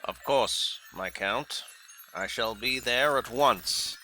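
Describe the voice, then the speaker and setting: A very sharp, forceful alien general from an audio drama, played by a man. He is a dutiful villain's henchman with formal speech, and there is clear noise behind the voice. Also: medium pitch, slow pace. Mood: obedient, formal. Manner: formal, deferential to master, crisp orders to underlings.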